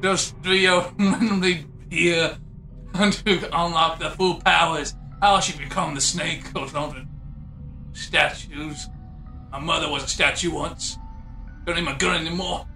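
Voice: medium pitch, fairly even intonation, measured pace, bright, forceful tone, echoey room, soft background music. An amateur dubber improvising a comedy fan dub in a rambling, silly way.

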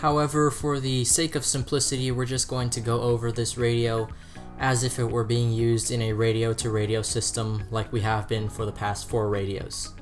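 A man's voice: mid-range voice, level tone, normal speed. A man presenting a scripted product review as narration. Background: background music.

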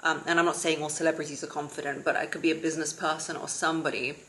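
A woman in her thirties giving casual advice to camera from her living room sofa, in a calm, steady, self-described monotone. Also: low voice, rapid-fire, slight background noise. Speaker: vlogger and confidence coach.

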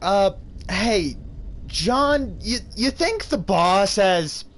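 A man voicing an uneasy but loyal henchman in a high, strained voice, hesitant and confiding. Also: animated, drawn-out words, fairly bright tone, a little hiss or hum, full character voice. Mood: worried, confiding. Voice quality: high, strained, throat-tearing. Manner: hesitant, confiding cartoon goon patter. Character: uneasy, loyal male henchman goon of a crazy boss.

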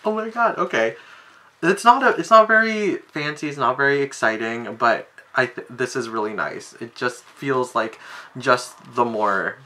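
A young man casually reviewing a collector doll in his bedroom, chatty and full of fillers, with sudden excited jumps in pitch. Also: measured pace. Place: bedroom, indoors. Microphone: webcam framing at desk.

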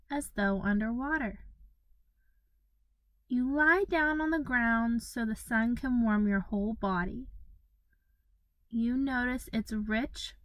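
A woman leading a guided meditation in a gentle, soothing manner, with pauses between phrases. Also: a little high, dry recording, low-quality recording.

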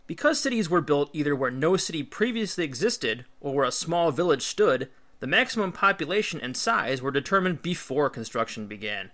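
A man's voice: a little high, pushed and bright. A man reading an educational history book aloud like an audiobook, as a teacher would, with emphatic, careful phrasing.

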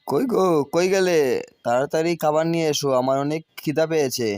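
A man's voice: a little high, a little fast, darkish tone, no room sound, studio quality, faint music behind, close to an everyday voice. A man voicing a grumbling, demanding village cowherd husband in a cartoon. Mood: hungry, demanding. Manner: complaining, demanding. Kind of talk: cartoon voice acting.

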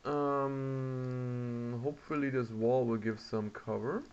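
A man's voice: mid-range voice, steady tone, takes it slowly, a little mellow. A man giving casual gameplay commentary, reacting aloud and muttering to himself as he plays. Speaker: gamer. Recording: muffled lo-fi mic, background noise.

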